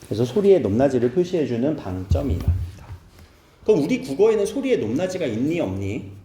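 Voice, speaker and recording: A man's voice: mid-range voice, big pitch swings, fast, warm tone, reverberant, a little hiss or hum. A man from Seoul teaching a class, asking his students questions and making playful asides. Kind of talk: classroom lecture.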